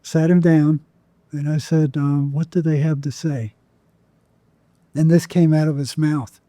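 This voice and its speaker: A man's voice: fairly high pitch, fairly even intonation, unhurried, dark tone, no room sound. A man telling stories as an interview guest in a conversational, rambling way, often acting out quoted dialogue. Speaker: podcast guest, psychotherapist.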